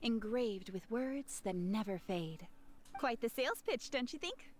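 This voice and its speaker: A woman playing a spirited, chatty young female adventurer in a video game, expressive and playful. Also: a little high, a little slow.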